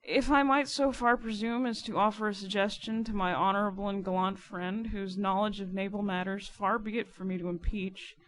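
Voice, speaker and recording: A woman voicing a languid, mocking gentleman lawyer in an audiobook, speaking with deliberate, pompous irony. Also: mid-range voice, close to an everyday voice, rough recording. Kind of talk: dramatic audiobook character reading.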